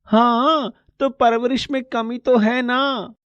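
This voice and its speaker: A woman's voice: on the high side, fairly even intonation, takes it slowly, no echo, dull audio. A woman voicing a small, poor schoolboy in a moral story, plaintive and stubbornly pleading. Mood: insistent. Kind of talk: moral story character acting.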